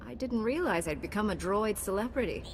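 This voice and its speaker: An actress playing a calm, noble female Jedi Knight in a video game, composed and sincere. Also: medium pitch, fairly quick, a little hiss or hum, lightly acted. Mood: curious, warm. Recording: hall reverb, music behind.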